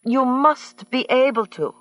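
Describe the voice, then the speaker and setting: A woman's voice: high voice, lively intonation, a little slow, a bit bright, no room sound, pitched above the performer's own voice. A fussy, gushing society woman with oddly tangled phrasing, put on by a male narrator. The voice sits well above his own and takes on a strange, altered tone. It is recorded dry and close. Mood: insistent. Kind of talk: audiobook character voice.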